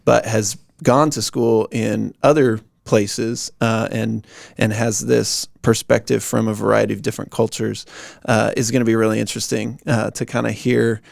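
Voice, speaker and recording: A man hosting a podcast, introducing his guest in an earnest, halting way and offering generous praise. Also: lowish voice, flat delivery, creaky, no room sound, studio quality. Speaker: podcast host. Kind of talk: podcast interview.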